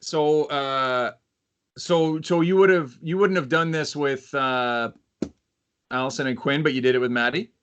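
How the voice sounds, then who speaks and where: A man's voice: a little high, measured pace, a bit bright, a little hiss or hum. A man, a fellow coach, asking friendly, brief questions on a video call. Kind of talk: casual video call discussion. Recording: dull, lo-fi call audio.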